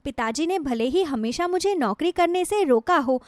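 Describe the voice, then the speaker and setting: A woman's voice: sits high, animated, normal speed, no echo, studio quality. A woman voicing a sad, anxious, earnest young woman in a moral story cartoon. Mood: hurt, resolute.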